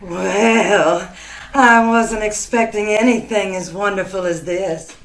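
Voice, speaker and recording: Woman as a slow-talking flirty saleswoman. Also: mid-range voice, steady tone. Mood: flirtatious. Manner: coy, flirtatious. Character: flirtatious, flattering saleswoman, sultry charmer. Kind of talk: old-time radio sitcom acting. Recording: echoey room, video-call recording.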